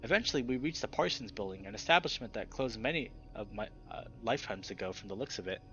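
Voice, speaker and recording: Animated man narrating a visual novel. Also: fairly low pitch, fast talker, lo-fi sound, faint music behind. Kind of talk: dramatic first-person scripted narration.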